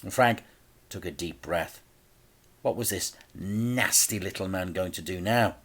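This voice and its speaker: A man reading a children's story aloud in a deliberate, dramatic way with suspenseful pauses. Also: deep voice, slightly rough.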